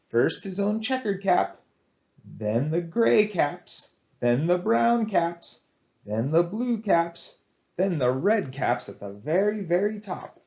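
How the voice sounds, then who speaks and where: A man tells a children's story in a high voice with very animated intonation. He goes slowly and deliberately, leaving dramatic pauses and leaning on repeated words. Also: gravelly. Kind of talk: expressive picture-book storytelling for children.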